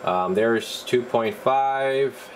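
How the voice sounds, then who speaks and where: A man in a radio workshop, talking casually and haltingly while he tests equipment. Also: medium pitch, slight background noise. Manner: relaxed, halting, thinks aloud while working. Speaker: radio repair technician. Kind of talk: casual hands-on demo.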